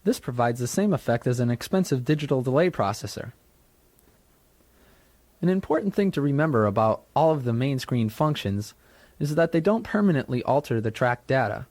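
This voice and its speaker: Male instructional narrator, medium pitch, measured and explanatory scripted tutorial read, dry sound. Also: animated, darkish tone, no room sound. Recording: dry studio narration from a 1990s tutorial cassette.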